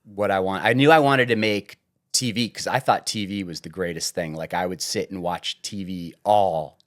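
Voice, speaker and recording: A man from suburban New York, a guest in a podcast interview, telling stories in a casual, lively way with deadpan teasing jokes. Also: sits low, normal speed.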